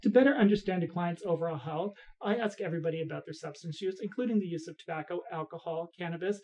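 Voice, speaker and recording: Quick-talking woman running a clinical intake. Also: on the low side, warm tone. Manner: repeats each answer back. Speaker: health care interviewer.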